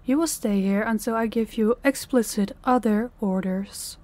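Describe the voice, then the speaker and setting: Mellow, commanding mafia boss played by a woman. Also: a little high, close to an everyday voice. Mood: stern, commanding. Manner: commanding, measured, condescending. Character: dominant, stern female mafia boss, coolly condescending. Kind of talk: intimate audio roleplay addressed to the listener.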